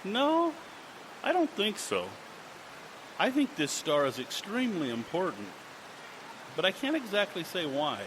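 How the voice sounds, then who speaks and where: An elderly male professor, bewildered and hesitant, who speaks with a lively, rising and falling pitch. The recording comes from a live stage radio play, with steady hiss underneath. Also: measured pace, long pauses, heavily acted. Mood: puzzled. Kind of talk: live audio drama.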